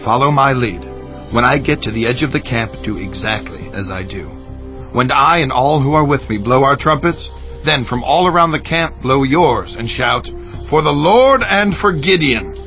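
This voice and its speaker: A man's voice: mid-range voice, lively intonation, moderate pace, muffled recording, soft background music, natural voice. A man acting as an earnest, commanding Israelite military leader in a dramatized audio Bible, projecting emphatically. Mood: rallying, urgent. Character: Gideon, earnest Israelite military leader rallying his men.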